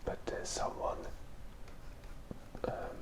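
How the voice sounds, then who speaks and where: An ASMR host from Hungary whispering unhurried, hesitant drawing commentary in a breathy, unvoiced voice, musing aloud. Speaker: host, illustrator. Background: pen and tablet writing sounds.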